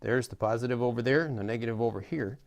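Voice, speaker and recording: Middle-aged man with a creaky voice. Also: mid-range voice, fast talker. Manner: folksy, informal, step-by-step. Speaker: how-to host demonstrating a repair. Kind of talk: casual hands-on tutorial narration.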